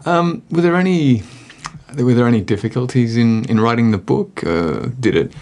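A man's voice: medium pitch, animated, normal speed, darkish tone. A man hosting an interview, asking curious, searching questions and adding frequent short acknowledgements while the guest talks. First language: not English. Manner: curious, many backchannels. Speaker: podcast host.

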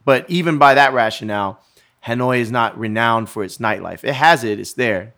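A man in casual chat, telling travel stories in a lively, self-deprecating way with frequent swearing. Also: medium pitch, normal speed. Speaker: traveler in conversation.

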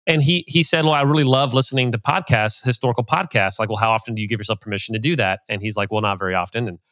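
A man hosts a casual interview and talks quickly, with plenty of likes and you-knows. The recording is close and dry, with no room sound. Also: on the low side, fairly bright tone, no echo. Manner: chatty, retells anecdotes with filler likes. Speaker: podcast host and coach.

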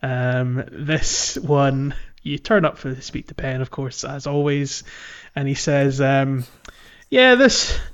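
A man's voice: mid-range voice, takes it slowly, clean tone, highs cut off. A man hosting a casual podcast chat about a video game, talking in a chatty, informal way. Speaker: podcast host.

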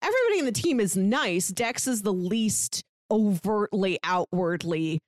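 A woman co-hosting a podcast, casually discussing a book in a reflective, analytical way, pausing to find words. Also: mid-range voice, animated, dry recording, studio quality. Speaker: podcast co-host.

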